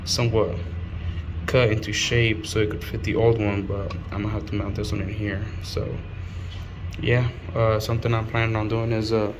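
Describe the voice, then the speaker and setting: A man giving a casual, unscripted hands-on installation tutorial, speaking in a halting way with frequent pauses mid-sentence. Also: lowish voice, flat delivery. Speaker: installer presenting a how-to. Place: on an installation job site. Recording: clearly noisy on-site recording.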